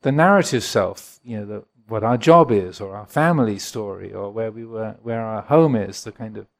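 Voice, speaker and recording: A man in his sixties from England, a Buddhist monk giving a gentle, reflective dharma talk and answering questions at a retreat. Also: fairly low pitch, normal speed, vocal fry. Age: 60s. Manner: gentle, reflective, chuckles often.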